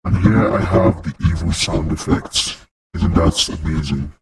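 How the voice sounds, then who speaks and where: A man demonstrating a voice-changer effect that turns him into a dark, evil monster. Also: low-pitched, a little slow, noisy background, voice pushed down. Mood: sinister. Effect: voice-changer monster filter. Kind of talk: software demonstration.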